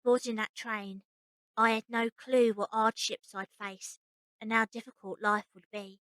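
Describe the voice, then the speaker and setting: A rebellious young circus girl from Victorian times acted by a woman, with a crisp-edged bright voice pitched a little high. She is cheeky, informal and dreamy, speaking as the heroine of an audio drama. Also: fairly even intonation, measured pace, no echo. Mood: sombre. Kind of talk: audio drama acting and first-person narration.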